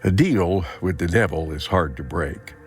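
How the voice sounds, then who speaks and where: Deep-voiced, weary old farmer. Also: male voice, unhurried, slightly rough. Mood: burdened, regretful. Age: older. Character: weary old farmer, trapped and regretful father. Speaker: actor in an audio drama. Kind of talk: dramatic monologue telling a life story. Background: music.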